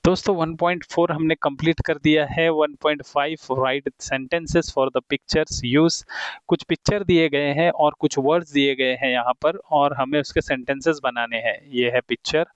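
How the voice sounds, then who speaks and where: A man teaching English in Hindi who reads the English example sentences slowly and deliberately and keeps calling his viewers friends. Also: on the high side, steady tone, clean tone, no echo, highs cut off. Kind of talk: explaining a textbook lesson to viewers.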